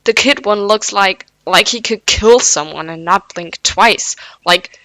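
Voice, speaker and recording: Woman reading a jumpy frightened college guy, flustered, insistent, gravelly, bright voice. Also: mid-range voice, measured pace, no room sound, rough recording. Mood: panicked. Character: jumpy male college volleyball player, easily frightened.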